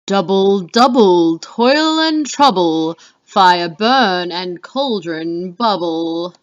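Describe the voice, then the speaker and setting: A woman's voice: lowish voice, animated, drawn-out words, muffled recording. A woman playing a sinister witch who recites a cauldron spell in rhythmic chant, in a dramatic Shakespeare reading.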